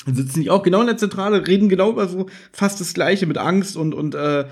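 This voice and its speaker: A man in a casual chat, recapping an audio drama as a podcast host and retelling scenes in an animated way. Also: sits high, fast talker, breathy edge.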